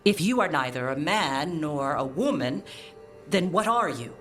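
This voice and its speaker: An actress voicing a stern, proud middle-aged scholar mother in a video game, speaking formally and with measured restraint. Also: sits low, expressive, faint music behind. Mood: restrained, tense. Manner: formal, measured, clipped diction without warmth. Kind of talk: video game dialogue acting.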